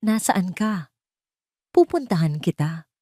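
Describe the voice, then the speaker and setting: A woman's voice: on the low side, big pitch swings, takes it slowly, mellow, no echo, clean studio sound, heavily acted. Woman voicing a concerned, protective male friend. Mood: worried, urgent. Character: concerned male lawyer, loyal close friend.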